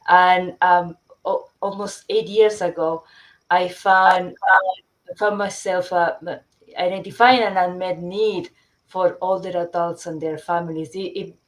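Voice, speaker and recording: A middle-aged woman from Chile, a company founder presenting her product in a webinar, often pausing with fillers and restarting sentences. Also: lowish voice, fairly even intonation, rough recording. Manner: hesitant, many fillers and restarts.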